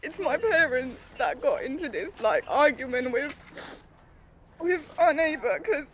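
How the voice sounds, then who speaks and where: A woman's voice: high voice, lively intonation, measured pace, noisy background. A distressed young woman calling the police to report a neighbour. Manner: upset, distressed. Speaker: caller. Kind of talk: emergency phone call.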